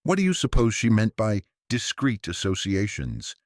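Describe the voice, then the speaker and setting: A man voicing a discreet, probing woman visitor in a mystery story, soft-spoken and careful. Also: on the low side, wildly swooping pitch, normal speed, dry recording, studio quality, voice pushed up. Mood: quietly probing.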